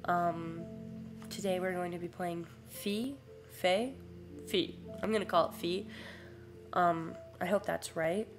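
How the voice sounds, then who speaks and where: A young woman with a raspy, hoarse voice, just getting over a sore throat, chatting excitedly through a let's-play of a game she has never played. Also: fairly low pitch, slow pace, faint music behind. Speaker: gaming YouTuber. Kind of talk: casual let's-play commentary.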